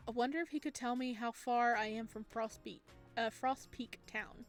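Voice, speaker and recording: A woman with a fairly high voice hosts a playful let's play. She reads story text aloud and chats between lines, giggly and expressive. Music plays softly behind her. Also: normal speed. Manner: giggly, chatty, expressive reactions. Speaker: gaming video host reading a visual novel aloud. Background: game music.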